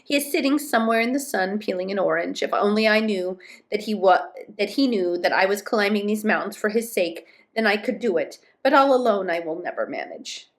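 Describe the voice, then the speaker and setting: A woman's voice: mid-range voice, lively intonation, fairly quick, lightly acted. A woman voicing a lonely young male troll in a storybook, musing aloud in a plaintive way. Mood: yearning, discouraged.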